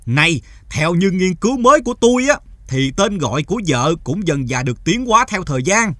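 Emphatic cartoon tea-shop philosopher. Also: male voice, high voice, big pitch swings, fairly quick, bright, forceful tone, a little hiss or hum, exaggerated voice. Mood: mock-serious. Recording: music behind the voice.

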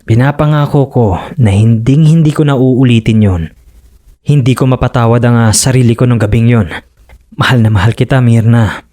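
A Filipino man playing a remorseful, gentle fisherman husband in a radio drama, speaking haltingly and earnestly. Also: mid-range voice, steady tone, natural voice. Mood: pleading, loving.

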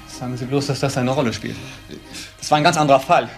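Man as a fast-talking, defiant protective brother. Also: medium pitch, steady tone, vocal fry, faint music behind, natural voice. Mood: defiant, indignant. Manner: argumentative, indignant, answering for others. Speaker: actor in a crime radio play. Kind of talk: German crime radio drama dialogue.

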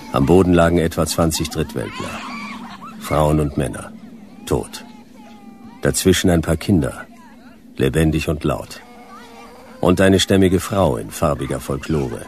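An actor playing a laconic, world-weary detective who narrates a radio drama in terse, deadpan phrases. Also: male voice, a bass voice, noisy background.

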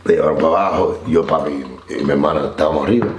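A Cuban man in his forties with a Cuban Spanish accent, telling stories in a relaxed way and mixing in English slang. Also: extremely low pitch, racing, echoey room, a little hiss or hum. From Cuba. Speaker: interview guest. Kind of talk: storytelling interview. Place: studio set, seated on couch.

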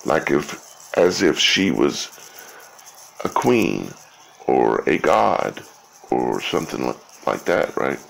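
Slow, gravelly, deep-voiced man explaining. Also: very animated, a bit bright, a little hiss or hum, low-quality recording. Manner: halting, repeats words, often checks with "okay?" and "right?". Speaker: video creator. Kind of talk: informal explanatory monologue.

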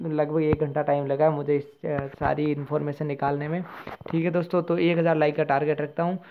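A thin, phone-like recording carries an Indian man hosting an informal update for his viewers. His voice sits a little high and moves very little in pitch as he explains things directly to the audience. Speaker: host of a job-news channel. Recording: muffled phone-like lo-fi sound.

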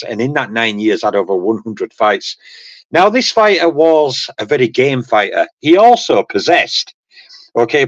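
A man's voice: mid-range voice, a bit bright, no echo, lo-fi sound. A man on a panel over a video call, rambling and saying "okay" and "um" a lot. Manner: rambling, peppers talk with "okay" and "um". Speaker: panel guest. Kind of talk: casual panel chat. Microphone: computer mic on a video call.

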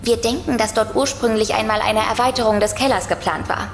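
A woman's voice: on the high side, steady tone, a little fast, slight background noise, close to an everyday voice. An actress playing an uneasy but matter-of-fact young woman in an audio drama. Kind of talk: German audio drama acting. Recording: echoey reverb, faint music.

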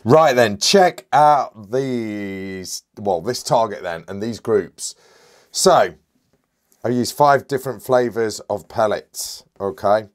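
A man, reviewing an air rifle in an enthusiastic, chatty way while hoarse and a bit stuffy from a cold. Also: fairly low pitch, expressive, slow. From United Kingdom. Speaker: airgun reviewer. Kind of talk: casual product review.

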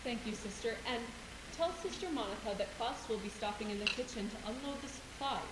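A woman plays a concerned nun in a stage drama. Her voice is fairly high. The recording is noisy and echoey, taken from far back in the theater. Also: a little fast, close to an everyday voice. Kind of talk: amateur stage acting in a high school play. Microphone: distant camera.

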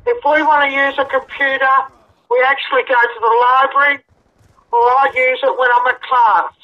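A woman's voice: high voice, fairly even intonation, normal speed. A middle-aged woman speaking firmly and indignantly on a dull, lo-fi phone line. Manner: firm, indignant, insistent. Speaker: prank call recipient. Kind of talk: phone conversation. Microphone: telephone.

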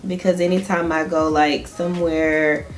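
A young Southern woman talks casually to her viewers in a lowish, relaxed voice while she does her makeup. She is chatty and laughs often, and soft music plays behind her. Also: normal speed. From Georgia, US. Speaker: beauty vlogger. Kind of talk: casual chit-chat while doing makeup. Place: small indoor room at home.

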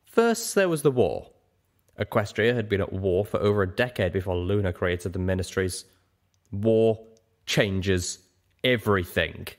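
A man's voice: deep voice, animated, measured pace. A man voicing a huge old male dragon in a story reading, earnest and nostalgic, with a reflective, emphatic manner. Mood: passionate, earnest.

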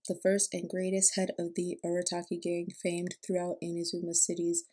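A woman's voice: fairly low pitch, monotone, a little fast, dark tone. A young woman giving casual reaction commentary as a video host in a room at home. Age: young adult. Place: small room indoors at home.

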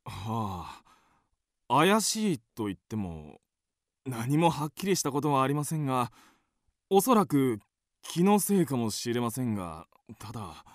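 A man's voice: mid-range voice, big pitch swings, a little slow, no echo, clean studio sound. An actor playing an easygoing husband and father in a radio drama, with a light-hearted manner. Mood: hesitant, polite. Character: easygoing, joking family man, husband and father.

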